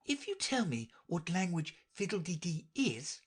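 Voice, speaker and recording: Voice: mid-range voice, very animated, a little slow, breathy edge, pitched above the performer's own voice. A reader voicing an earnest, polite young girl in a storybook audiobook, speaking in a careful, grave manner. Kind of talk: audiobook character voice.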